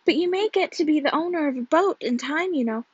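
A woman's voice: high voice, moderate pace, no room sound, muffled recording. A woman voicing a patient, spirited young woman in a storybook, with an earnest, pleading manner. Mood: cheerful.

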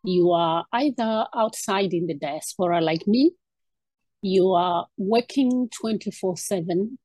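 A woman's voice: mid-range voice, a little slow, a little mellow, dry recording. A woman in her fifties from Zimbabwe, a guest talking through her background with frequent ums and restarts. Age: 50s. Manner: hesitant, many ums and restarts. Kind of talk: casual interview conversation.

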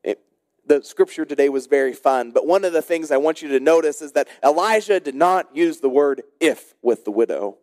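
A man delivers a sermon in a voice pitched a bit higher than most men's. He is an emphatic storyteller, shifting between brisk narration and slow, dramatic phrasing. The sound is dry, with very little room around the voice. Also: expressive, darkish tone, dry recording. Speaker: pastor preaching. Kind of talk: sermon with storytelling and scripture exposition.

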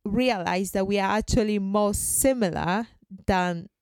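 Smooth-voiced woman, earnest and chatty. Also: medium pitch, a little slow, darkish tone, dry recording, studio quality. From Ghana. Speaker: podcast guest. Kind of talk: podcast conversation.

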